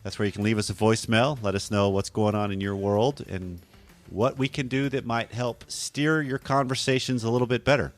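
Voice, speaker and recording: A man hosting a podcast in a relaxed, chatty way, with a slightly hoarse deep voice and a habit of correcting himself as he goes. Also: brisk, faint music behind. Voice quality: deep radio voice. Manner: conversational, rambling, self-correcting. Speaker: podcast host. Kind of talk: casual podcast talk.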